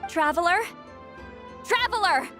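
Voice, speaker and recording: A woman playing a proud, prickly, youthful-sounding shaman in a video game, brisk and self-assured. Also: very high voice, animated, bright, forceful tone, exaggerated voice. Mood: urgent. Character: Citlali, proud, prickly two-hundred-year-old shaman woman who looks young. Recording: game music behind the voice.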